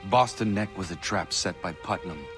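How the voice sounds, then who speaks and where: An actor playing a resolute young warrior in a video game, terse, earnest and formal, with deadpan delivery. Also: male voice, deep voice, normal speed, over music, close to an everyday voice. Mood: grim. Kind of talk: dramatic video game acting.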